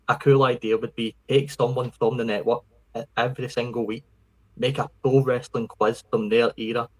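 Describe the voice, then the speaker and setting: A man's voice: mid-range voice, low-quality recording. A man with a Scottish accent hosting a casual podcast chat, friendly and rambling, often restarting his words. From Scotland. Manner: friendly, rambling, repeats words when starting. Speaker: podcast host.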